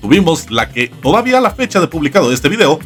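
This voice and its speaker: Male video essay host, wry and theatrical, very lively intonation, faint music underneath. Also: on the high side, fast talker. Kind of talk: scripted comedic video essay narration. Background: background music.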